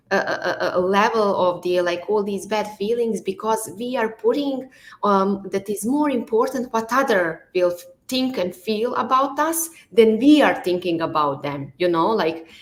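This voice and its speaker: A woman from Bosnia with a Bosnian accent, a non-native speaker hosting a podcast interview, leaning on filler words. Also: mid-range voice. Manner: leans on "like" and "you know" fillers. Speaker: podcast host. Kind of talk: conversational interview.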